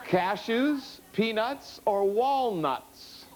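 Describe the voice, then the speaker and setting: A man's voice: high-pitched, expressive. A man hosting a TV game show, joking and teasing his celebrity panel in front of a studio audience. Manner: jokey, teasing, quick asides. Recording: studio audience and noise behind.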